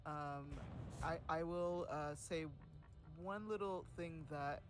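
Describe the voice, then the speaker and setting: A man hosting community events, chatting casually on a livestream, laughing often and filling pauses with ums. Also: a little high, music in the background. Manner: says he has a louder voice than most.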